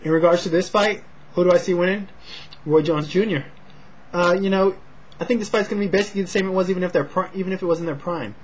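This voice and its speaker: Husky, low-key American man. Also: quick pace. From Sacramento, California, United States. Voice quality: husky, not loud. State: slightly short of breath from a rib injury. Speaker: amateur boxing commentator and vlogger. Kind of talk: casual talk to camera, fight preview and prediction. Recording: dull, lo-fi home recording.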